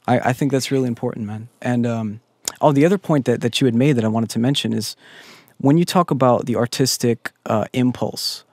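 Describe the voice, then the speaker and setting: Guy hosting a podcast, mid pitch, eager and earnest, dry sound. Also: a little fast, a little mellow, dry recording. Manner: enthusiastic, earnest questioning. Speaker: podcast host. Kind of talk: casual interview chat.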